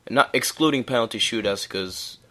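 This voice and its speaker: A man's voice: mid-range voice, normal speed, bright, forceful tone. A young man casually talking through a solo sports recap, chatty and rambling with laughs. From United States. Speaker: podcast host.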